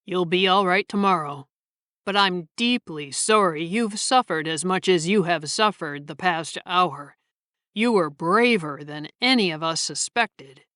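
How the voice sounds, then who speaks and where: A woman voicing a brisk, commanding male surgeon in a short story reading. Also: on the low side, dry recording, studio quality. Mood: gentle. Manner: brisk, commanding, later gentle. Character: calm authoritative male surgeon.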